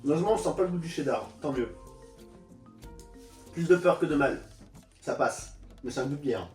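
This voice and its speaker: A man's voice: a little high, animated, normal speed, reverberant, far from the mic, faint music behind. A man with a stuffy nose from a cold, co-hosting a casual food tasting chat, joking and grumbling. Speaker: co-host of a food tasting channel.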